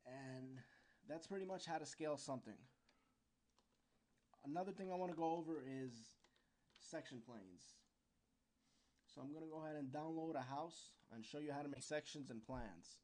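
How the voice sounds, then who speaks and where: A man giving an informal, unscripted software tutorial, explaining step by step and sometimes searching for words. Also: a little high, normal speed, long pauses.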